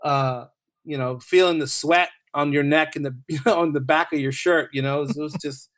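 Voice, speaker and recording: A man's voice: on the high side, steady tone, normal speed, a bit bright. A man chatting casually as a podcast guest, informal and often repeating his phrases as he goes. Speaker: podcast guest, author and audio dramatist. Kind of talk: casual chat, anecdotes.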